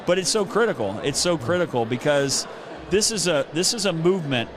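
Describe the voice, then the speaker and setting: A man's voice: a little high, expressive, brisk. Podcast host, middle-aged man, slightly raised register, casual anecdotal talk, busy crowd audible around him. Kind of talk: casual conversational interview. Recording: outdoor event with clear crowd din.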